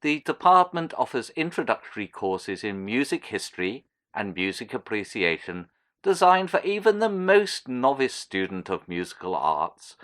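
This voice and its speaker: A pompous academic announcer in an audio drama. His lowish voice swoops widely through formal, declamatory phrases, each delivered with self-important precision. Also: a bit bright. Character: pompous male university announcer promoting occult studies.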